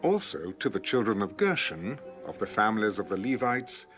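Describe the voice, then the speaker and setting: A man with a lowish voice reads scripture in a measured recitation, moving through lists of names. His pitch rises and falls in a lively way. Music plays clearly underneath him. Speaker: audio Bible narrator. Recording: music bed under the reading. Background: background music.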